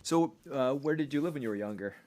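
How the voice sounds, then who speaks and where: A man hosting a casual podcast chat, laid-back and quick to laugh. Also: medium pitch, animated, a little mellow. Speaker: podcast host.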